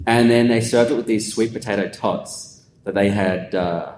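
Australian man, low voice, conversational guest who rambles with fillers; echoey room, faint rumble. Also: normal speed. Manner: relaxed, rambling explainer with many fillers. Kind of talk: casual conversational interview. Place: conference venue.

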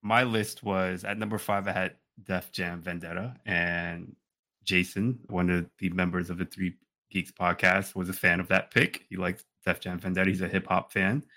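A man's voice: low-pitched, level tone, moderate pace, pushed and bright. A man co-hosting a podcast in a casual, bantering conversational style. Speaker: podcast co-host.

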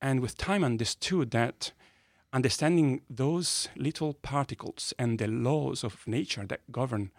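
A man with an Italian accent, a native Italian speaker, explaining science in a conversational interview with enthusiasm and pauses between phrases. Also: medium pitch, vocal fry, no echo, studio quality. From northern Italy. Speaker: guest physicist. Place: podcast studio.

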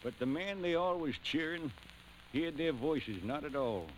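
An actor narrating an old radio drama as a reverent storyteller, in a poetic, measured cadence. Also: male voice, fairly high pitch, animated, slight background noise, rough recording. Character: reverent storyteller of a war hero.

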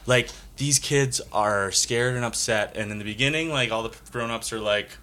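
Rambling young man, bright voice. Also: medium pitch, normal speed. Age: 20s. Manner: rambling, enthusiastic, many fillers. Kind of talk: casual group chat.

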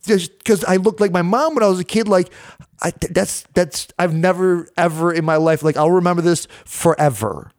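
A man's voice: high-pitched, moderate pace, no echo, studio quality. A man in his thirties from the United States, telling stories as a podcast guest in casual chat, slangy and full of fillers. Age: 30s. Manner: animated storyteller, slangy, many fillers.

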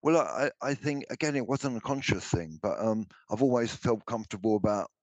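An older man with a deep baritone voice, answering interview questions about his songs, hesitant with many restarts. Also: brisk, no echo. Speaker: musician guest.

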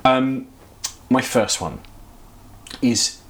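A man hosting an interview podcast, unhurried and full of effusive praise for his guest. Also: medium pitch, expressive, a little hiss or hum. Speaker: podcast host. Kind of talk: casual interview chat.

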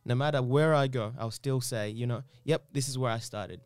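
A man's voice: mid-range voice, normal speed, a little mellow, clean tone, no echo. Rambling man from Uganda, dry sound. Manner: rambling, repeats words, says "you know" often. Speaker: guest. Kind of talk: casual interview chat.

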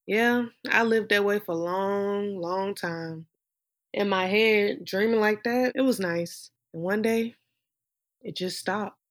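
A woman performing a film monologue as a wistful dreamer recalling lost hopes of stardom, in a reflective, drawn-out delivery. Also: mid-range voice, natural voice. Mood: wistful, resigned. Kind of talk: dramatic film monologue reading.

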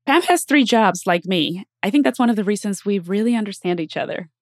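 A woman speaking as a podcast host, upbeat and expressive, addressing people directly in a conversational way. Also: medium pitch, fairly quick, dry recording. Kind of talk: podcast intro and interview chat.

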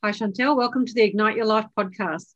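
A woman's voice: mid-range voice, fairly quick, fairly bright tone, no room sound, highs cut off. A woman hosting a podcast, asking encouraging questions and often ending with tag questions. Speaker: podcast host. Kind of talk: scripted intro then casual interview.

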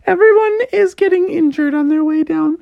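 A man's voice: very high voice, normal speed, vocal fry, dry recording, dull audio, pitched above the performer's own voice. A man voicing a scared but clever little sister in a children's story, speaking in a small, careful way. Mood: timid.

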